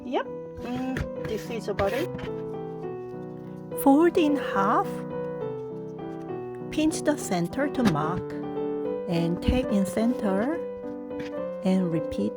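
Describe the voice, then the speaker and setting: A woman's voice: on the high side, animated, slow, long pauses. A woman with a thick Korean accent, hosting a craft tutorial and giving short step-by-step directions in simple non-native English. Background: soft piano music.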